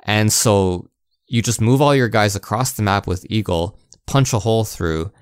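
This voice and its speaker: A man's voice: on the low side, moderate pace, clean tone, no room sound, clean studio sound. A man co-hosting a podcast, chatting casually about video games. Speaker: podcast co-host. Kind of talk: casual video game discussion.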